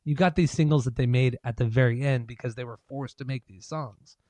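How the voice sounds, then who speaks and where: Hoarse-edged man ranking albums, swearing. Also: medium pitch, steady tone, a little fast, warm tone, no room sound. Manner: swears a lot, loose and opinionated. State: losing his voice. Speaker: podcast co-host. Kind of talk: casual podcast chat.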